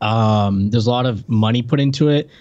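A man speaks as a podcast co-host in relaxed, unscripted chat. His pitch is average for a man, and his delivery is hesitant, often doubling back on words while he gathers his thoughts. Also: moderate pace, highs cut off.

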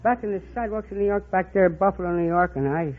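High-voiced folksy old American man, tinny radio. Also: fast talker. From Kentucky, United States. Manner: folksy, meandering. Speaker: quiz show contestant. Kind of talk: rambling anecdote. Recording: tinny, noisy old radio recording with audience. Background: live studio audience.

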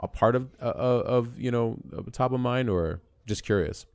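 A man's voice: medium pitch, moderate pace, darkish tone, clean tone, no echo, clean studio sound. A man hosting a casual podcast interview, asking long, rambling questions enthusiastically, with many restarts. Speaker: podcast host.

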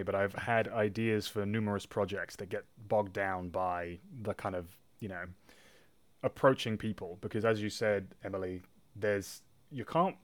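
A man's voice: lowish voice, no room sound. A man hosting a casual podcast interview, thinking aloud and rephrasing as he goes.

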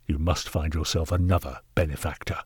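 A man's voice: a bass voice, steady tone, a little fast, breathy edge, no echo, studio quality, pitched below the performer's own voice, exaggerated voice. A man voicing a haughty, bullying Victorian knight in a storybook reading, pompous and scornful. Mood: cold, scornful. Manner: pompous, forceful, contemptuous. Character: haughty, callous, bullying Victorian gentleman knight, angry benefactor. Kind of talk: dramatised audiobook character reading.

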